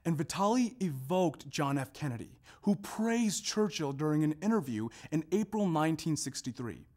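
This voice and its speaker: Animated man in a scripted recitation. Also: fairly high pitch, normal speed. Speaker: readers theater performer. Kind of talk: scripted narration, staged recitation.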